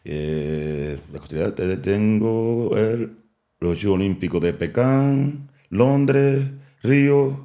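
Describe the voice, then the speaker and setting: A middle-aged man with a heavy Cuban Spanish accent and a very low voice talks as a boxing coach in an interview. His tone is mellow and dark. He speaks haltingly, with restarts and repeated words. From Cuba. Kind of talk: interview, mixing French and Spanish.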